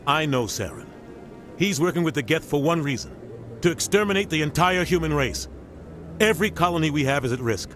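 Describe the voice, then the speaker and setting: A man's voice: on the high side, lively intonation, brisk, fairly bright tone. A man playing a middle-aged navy captain in a video game, grave, embittered and emphatic. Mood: urgent, ominous. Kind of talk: video game cutscene acting. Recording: music behind game dialogue.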